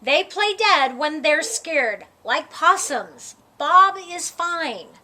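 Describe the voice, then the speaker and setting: An exasperated grade-school teacher man, as a woman storyteller plays him, bright and slow. He explains things instructively, leaning on his words as in a storybook read-aloud. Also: high-pitched, expressive, full character voice.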